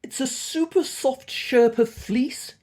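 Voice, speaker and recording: A woman's voice: sits high, takes it slowly, warm tone, pitched above the performer's own voice. A woman voicing a proud, pedantic male cat in a comic story, precise and pleased with himself like a salesman.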